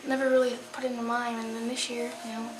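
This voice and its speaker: A teenage girl, a student actor, talking informally and haltingly in an interview. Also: fairly high pitch, little pitch movement. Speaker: high school student actor. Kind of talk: casual interview reflection. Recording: echoey room, loud music over it.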